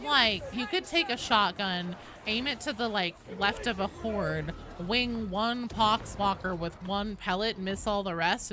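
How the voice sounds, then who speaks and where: A woman with a mid-pitched voice hosts a casual game stream, answering viewers as she goes. Her delivery is informal, chatty and playful. There is clear ambient noise behind her voice.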